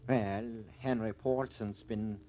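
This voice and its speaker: An actor playing a gruff, suspicious country sheriff with folksy broken grammar in a 1950s radio drama. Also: male voice, lowish voice, fairly even intonation, slow. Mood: guarded, apologetic. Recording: muffled, noisy old radio recording.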